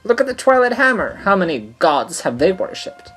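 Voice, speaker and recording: A manic little gnome man for a fantasy audio drama. He chatters with excitable glee, his pitch leaping around. There is faint music behind him. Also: moderate pace. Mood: amused musing. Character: gleeful, manic small male gnome saboteur.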